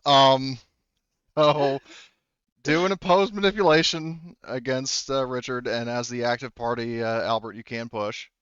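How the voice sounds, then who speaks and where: Clear-voiced man running a tabletop game. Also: mid-range voice, steady tone, a bit bright, no room sound, muffled recording. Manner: halting, thinking aloud. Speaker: game master.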